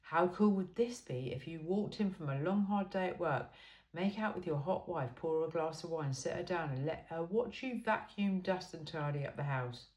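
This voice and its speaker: A woman's voice: on the low side, moderate pace, a little mellow, dull audio. A woman reading a self-help book aloud in an informal, blunt and emphatic way.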